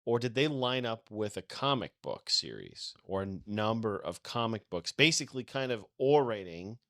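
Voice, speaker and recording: A man's voice: lowish voice, sing-song, moderate pace, fairly bright tone, no room sound, clean studio sound. A man co-hosting a podcast, giving opinions and asking questions in casual conversation. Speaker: podcast co-host. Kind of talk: casual discussion.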